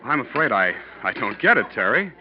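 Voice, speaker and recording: An actor playing a guarded newspaperman with a guilty secret in an old-time radio drama, terse and halting. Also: mid-range voice, sing-song, some chatter behind, close to an everyday voice. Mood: intrigued, hesitant. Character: tense newspaperman, secret wife-poisoner paying blackmail. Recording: muffled, noisy old radio recording.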